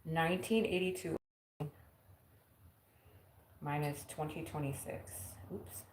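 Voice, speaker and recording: A woman's voice: low voice, fairly even intonation, slow, echoey room, slight background noise. A woman in her forties chatting casually on a live stream at home, teasing herself and laughing often. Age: 40s. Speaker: vlogger. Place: home kitchen.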